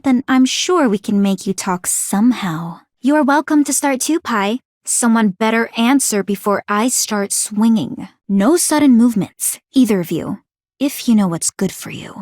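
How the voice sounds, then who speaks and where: High-voiced, confident young female strategist. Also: darkish tone, no echo, clean studio sound. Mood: stern, suspicious. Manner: formal, commanding, precise. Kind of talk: scripted video game character voice acting.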